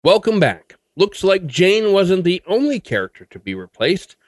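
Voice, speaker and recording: A man's voice: sits high, very animated, normal speed, no room sound. A man hosting a podcast, giving scripted commentary and sign-off announcements. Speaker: podcast host. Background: music.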